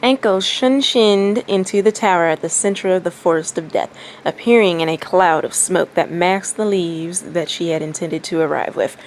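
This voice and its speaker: A woman's voice: lowish voice, normal speed. A woman doing casual story-time talk and reading fan fiction aloud as a narrator. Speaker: fan fiction reader and commentator. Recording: faint background noise.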